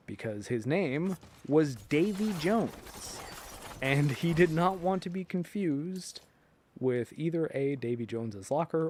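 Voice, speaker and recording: A man hosting a video, giving casual, rambling film and TV commentary in an informal, emphatic way, often halting mid-thought. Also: on the high side, darkish tone, a little hiss or hum. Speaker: video host.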